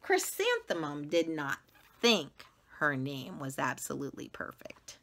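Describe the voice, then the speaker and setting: A middle-aged American woman reading a children's story aloud with dramatic, deliberate emphasis, recorded indoors on a camera at about arm's length. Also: low voice, wildly swooping pitch, fairly bright tone, slight background noise. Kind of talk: expressive read-aloud narration. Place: small classroom-like room, indoors.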